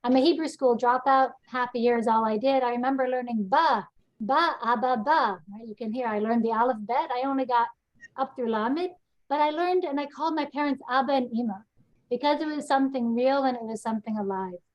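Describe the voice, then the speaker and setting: A woman who is a synagogue rabbi, talking in a lively, chatty way on a video call. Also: high voice, a little fast, dull audio. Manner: lively, chatty, sings snatches of song. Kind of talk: webinar personal remarks.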